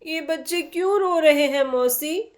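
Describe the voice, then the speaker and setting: A woman's voice: sits high, a little slow, pitched above the performer's own voice. A woman voicing a tender-hearted eight-year-old girl in a storybook reading, plaintive and pleading. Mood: troubled.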